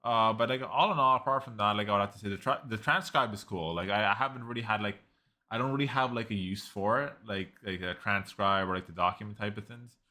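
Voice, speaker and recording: Rambling young-sounding man reviewing software. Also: lowish voice, quick pace. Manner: rambling, heavy use of "like", frequent restarts. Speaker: tech reviewer. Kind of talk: casual screen-recorded software review.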